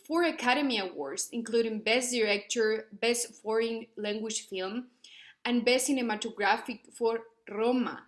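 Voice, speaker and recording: A young woman from Ecuador, giving an earnest academic presentation with many fillers and restarts. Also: a little high. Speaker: student researcher presenting.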